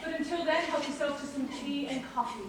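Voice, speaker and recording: A woman's voice: sits high, brisk, natural voice. Woman acting officious, declamatory priest. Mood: grandly announcing. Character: busy, officious male priest addressing mourners. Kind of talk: amateur college stage play. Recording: echoey hall, far mic, background noise.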